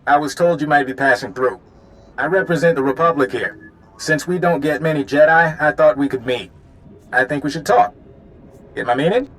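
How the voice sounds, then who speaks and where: An actor playing a smooth-talking government agent in a video game, affable and sly, with an informal, insinuating manner. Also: male voice, a little high, normal speed, a bit bright, lightly acted, poor audio. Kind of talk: video game cutscene acting.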